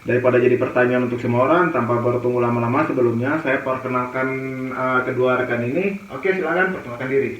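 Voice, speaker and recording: A man from Papua hosts a casual interview in an eastern Indonesian accent. His voice sits at a medium pitch. He is recorded away from the microphone in an echoey room. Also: fast talker. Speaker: host. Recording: muffled, roomy, distant mic, noisy.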